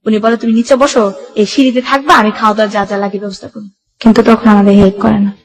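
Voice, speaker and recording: A woman's voice: medium pitch, fairly even intonation, fast, rough recording. A young woman with a Bangladeshi Bengali accent tells her life story as a guest, in colloquial run-on sentences with reported dialogue. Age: young adult. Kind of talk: personal life-story narration.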